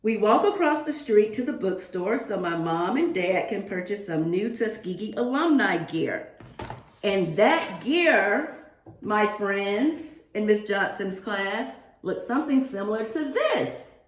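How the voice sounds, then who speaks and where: A woman's voice: mid-range voice, unhurried. Echoey woman reading aloud to children. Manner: expressive, addressing children with questions. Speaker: guest reader for a second-grade class. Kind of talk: read-aloud of a children's picture book with asides to pupils. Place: indoors, reading remotely to a class. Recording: roomy, echoing sound of a virtual class reading.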